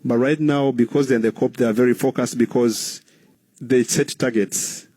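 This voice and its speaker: Mellow-voiced Ugandan man explaining. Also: mid-range voice, steady tone, normal speed, slightly rough, dry recording. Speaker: guest, cooperative trainer. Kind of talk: interview answers, explanatory.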